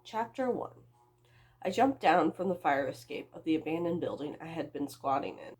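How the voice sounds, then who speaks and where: A woman's voice: low voice, lively intonation, normal speed, darkish tone, slightly rough. A young woman reading her own story aloud as a book vlogger, with a dramatic, self-mocking delivery and amused asides.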